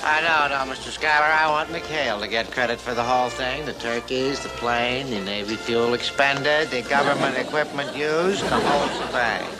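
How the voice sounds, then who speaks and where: An actor with an American accent playing a stern, pompous, easily exasperated middle-aged navy captain in a sitcom. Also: male voice, mid-range voice, moderate pace, hardly any pauses, over loud music, over a noisy crowd, close to an everyday voice, rough recording. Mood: sour. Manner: pompous, scolding. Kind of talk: sitcom acting.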